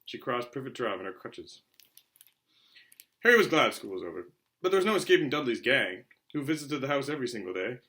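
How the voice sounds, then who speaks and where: A man narrating a children's novel aloud in an expressive storytelling style. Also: medium pitch, brisk. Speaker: audiobook reader.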